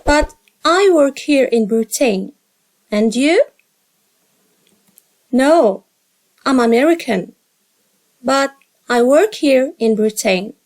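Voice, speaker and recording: A woman English teacher reading short dialogue lines slowly and carefully for learners to repeat after her. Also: sits high, lively intonation, darkish tone. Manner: slow, carefully spaced drill reading.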